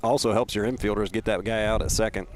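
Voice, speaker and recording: A man's voice: fairly low pitch, steady tone, fast, a little hiss or hum. A man giving casual baseball analysis as a color commentator on a radio broadcast.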